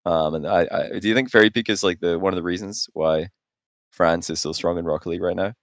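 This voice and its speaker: A man's voice: low-pitched, quick pace, no room sound, studio quality. A man in his late twenties chatting casually as co-host of a gaming podcast. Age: late 20s.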